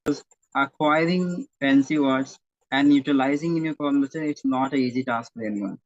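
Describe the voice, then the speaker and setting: A man who teaches at a primary school, speaking as an interview guest in a halting way and often correcting himself mid-sentence. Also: mid-range voice. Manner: halting, self-correcting, searching for words. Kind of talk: interview conversation. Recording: dull, dry lo-fi audio.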